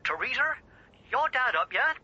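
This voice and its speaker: An actor in a radio drama playing a gruff local man who speaks in clipped, leading questions through a telephone filter. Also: extremely high pitch, sing-song, moderate pace, noisy background. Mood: urgent, insinuating. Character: gruff, probing local man passing on grim news by telephone. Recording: thin band-limited telephone line.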